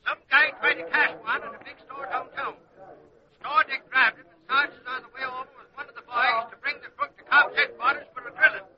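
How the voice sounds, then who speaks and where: An actor playing a brash, slangy, excitable newspaper reporter in 1940s radio style. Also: male voice, extremely high pitch, fairly quick, crowd noise. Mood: brisk, eager. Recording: dull old radio recording.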